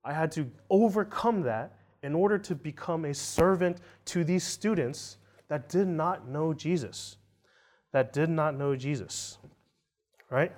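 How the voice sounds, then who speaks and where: A man in his thirties gives a church sermon in a slightly high voice. He keeps it conversational, checking in with "right?" and circling back to repeat key points. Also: unhurried, a little mellow. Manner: conversational, often tags lines with "right?"; restarts and repeats phrases for emphasis. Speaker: preacher.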